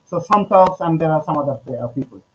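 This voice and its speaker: A man from Bangladesh, giving an academic presentation over a video call, halting and full of filler sounds. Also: on the high side, animated, slight background noise. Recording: muffled video-call audio.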